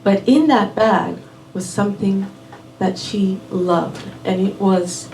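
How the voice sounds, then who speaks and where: A woman raised in Miami telling a personal story live at a small venue, unhurried and measured, dropping in Spanish words. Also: mid-range voice, a little mellow, slight background noise. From born in Puerto Rico, raised in Miami. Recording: roomy venue audio from a distant mic.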